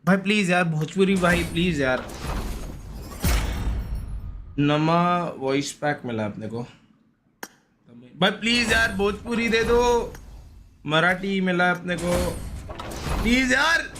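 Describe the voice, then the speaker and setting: High-pitched, animated Indian male game streamer. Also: slow, long pauses, noisy background. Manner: slangy, repetitive exclaiming and grumbling. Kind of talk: casual live stream chat with viewers while gaming.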